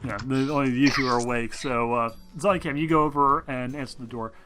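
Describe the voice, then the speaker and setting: A man's voice: mid-range voice, steady tone, a little fast, bright, forceful tone. A man narrating a tabletop game as game master, informal and a little hesitant as he goes. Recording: music behind the voice.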